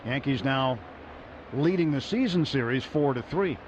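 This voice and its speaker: A man's voice: mid-range voice, expressive, normal speed. An American man doing live radio play-by-play commentary of a baseball game. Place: stadium broadcast booth. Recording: dull radio broadcast, loud stadium crowd, noisy. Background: loud crowd and stadium noise.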